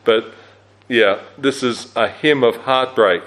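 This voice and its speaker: A man with a low-register voice leads a church camp Bible study in a relaxed, conversational way. He fills pauses with "uh" and corrects himself as he goes. His pitch sits in the middle range. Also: poor audio. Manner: conversational, with frequent fillers and self-corrections. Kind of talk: teaching a Bible study talk.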